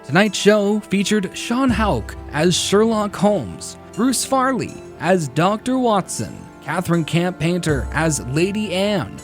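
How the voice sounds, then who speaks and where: A male announcer reading scripted programme credits and sponsor messages in a showy radio style. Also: fairly high pitch. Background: music.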